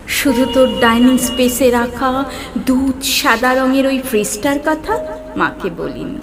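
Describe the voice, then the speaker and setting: A woman reciting poetry in an expressive, measured, dramatic manner with deliberate pauses. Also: sits high. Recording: music bed under the voice. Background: music.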